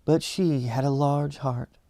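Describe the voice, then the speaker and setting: Male reader as a storybook spirit guide, thoughtful and gentle, speaking with quiet calm. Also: medium pitch, slow pace, no echo, clean studio sound.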